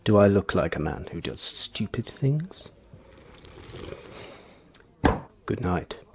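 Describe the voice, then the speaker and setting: An actor playing a suave, sinister Victorian gentleman in an audio drama, with formal, courtly phrasing. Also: fairly low pitch, sing-song, unhurried, breathy edge, full character voice. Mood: quietly menacing. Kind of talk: theatrical period audio drama acting.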